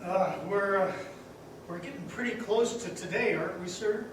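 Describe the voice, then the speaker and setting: A man's voice: sits high, moderate pace, close to an everyday voice. A man playing a meek, curious, simple-hearted angel in a radio stage play, hesitant and deferential. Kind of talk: old-time radio play acting on stage. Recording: distant echoey stage recording, faint noise.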